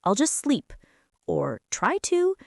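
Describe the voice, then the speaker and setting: A woman's voice: a little high, huge pitch swings, slow, creaky, darkish tone, no room sound, full character voice. A young woman playing a prickly, defensive teenage school bully in an intimate girlfriend ASMR roleplay, sulky and sarcastic, close to the mic. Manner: sulky, sarcastic, huffy, with sighs and whispers.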